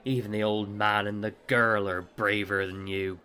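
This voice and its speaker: An actor playing a boastful, bullying young pirate captain in an audio drama, swaggering and taunting. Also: male voice, fairly low pitch, flat delivery, moderate pace, bright, forceful tone. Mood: scornful, bullying. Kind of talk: theatrical audio drama dialogue.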